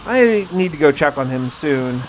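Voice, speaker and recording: A man's voice: a little high, lively intonation, moderate pace, slight background noise, poor audio. A man narrating a survival game playthrough in a conversational, first-person storytelling way, as a roleplaying video host. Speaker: gaming video host and player. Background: music.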